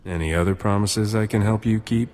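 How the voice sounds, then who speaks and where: Man playing a low-voiced roguish smuggler. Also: a little fast, darkish tone, natural voice. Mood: flirtatious, wry. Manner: wry, cocky. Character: roguish, flirtatious male smuggler captain, wisecracking. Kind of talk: video game cutscene acting. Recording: hall reverb, music behind.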